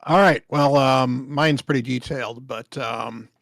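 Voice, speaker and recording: Man with a rough, strained voice. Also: a little slow, fairly bright tone, no room sound. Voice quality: rough, strained voice from a damaged vocal cord. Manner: low volume, careful and earnest. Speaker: streamer and content creator. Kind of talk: casual panel chat.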